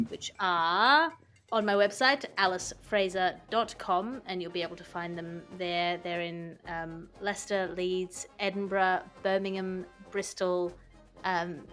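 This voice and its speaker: A woman's voice: lowish voice, fairly bright tone. Woman hosting a comedy podcast. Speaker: podcast host. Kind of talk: casual podcast chat and scripted promo.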